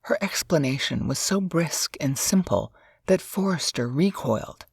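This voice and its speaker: A woman narrator with a husky, gravelly voice reads fiction aloud in a scripted storybook style. The recording is dry and close, with no room sound. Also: sits low, animated, unhurried, no echo.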